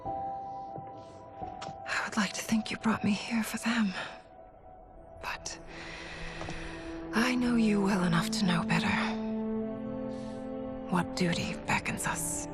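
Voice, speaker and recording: An actress playing a regal warrior queen in a video game cutscene, speaking softly and earnestly over a dramatic score. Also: medium pitch, slow pace, long pauses, in a whisper, over loud music, lightly acted. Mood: wistful. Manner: soft, earnest, often hushed. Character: regal female warrior queen, proud but weary.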